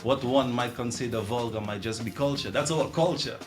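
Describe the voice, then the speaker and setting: A man from Trinidad and Dominica with a Caribbean accent, a music artist chatting in an interview, animated and laughing, often restarting. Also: medium pitch, moderate pace. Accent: Caribbean English. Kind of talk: casual interview chat.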